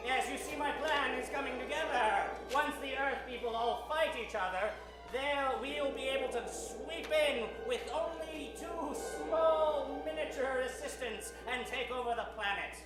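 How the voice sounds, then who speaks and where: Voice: high-pitched, measured pace. A performer playing a pompous, scheming male alien overlord from Venus in an improvised radio comedy, with a grandiose, commanding manner. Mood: smugly scheming. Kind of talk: improvised old-time radio comedy acting. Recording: very echoey stage, music behind.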